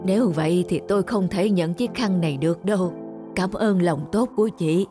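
A woman's voice: lowish voice, normal speed, dark tone, faint music behind, close to an everyday voice. A woman voicing a humble, grateful old street vendor in a moral-tale cartoon, speaking in a pleading, thankful manner. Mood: humble gratitude. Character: frail, humble, grateful old woman selling lottery tickets.

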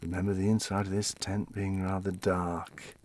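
An actor playing an elderly widower in an audio play, reminiscing wistfully about his wife in a halting, conversational, reflective way. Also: low voice, flat delivery, a little mellow, slight background noise, lightly acted. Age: older.

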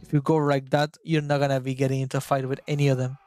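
A man's voice: medium pitch, level tone, fast, darkish tone, faint music behind. A young man, chatting casually through a gameplay guide and sometimes hunting for words. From Argentina. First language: Spanish. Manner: chatty, searches for words, swears at the game. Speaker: gaming YouTuber.

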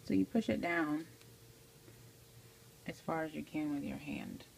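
Low, gravelly-voiced woman, casual tutorial. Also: measured pace, darkish tone. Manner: informal, checks in often with "okay". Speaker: amateur how-to video maker. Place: home kitchen, indoors at the stove. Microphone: handheld phone behind the camera. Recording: noisy handheld home recording, clicks.

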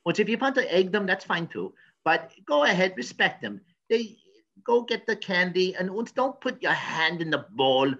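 A man's voice: high voice, very animated, moderate pace, a bit bright, dull audio, exaggerated voice. A man playing a cranky, pompous professor in a comedy news sketch, rambling and stammering. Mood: rambling advice. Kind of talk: improvised mock news interview comedy.

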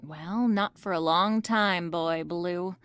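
A woman playing a brisk, bossy, wisecracking private detective in an old-time radio-style mystery drama. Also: on the low side, a bit bright, smooth.

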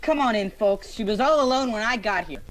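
A woman's voice: fairly high pitch, a little fast, noisy background, close to an everyday voice. A woman playing a gawky, eager teenage schoolboy in old-time radio comedy style, hesitant and earnest. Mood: bashful, smitten. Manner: hesitant, gee-whiz earnest.

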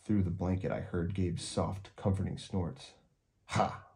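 A man reading a children's story aloud as an expressive narrator. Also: deep voice, normal speed, creaky. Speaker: storyteller, host.

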